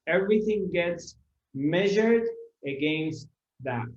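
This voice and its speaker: A man delivering a spiritual talk to a seated audience, speaking very slowly with a slightly raised pitch. He lingers on his phrases, repeats them and keeps posing rhetorical questions. The recording is roomy and a little dull, with the echo of the room around him. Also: lively intonation. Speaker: spiritual teacher. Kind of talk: spiritual discourse to a live audience.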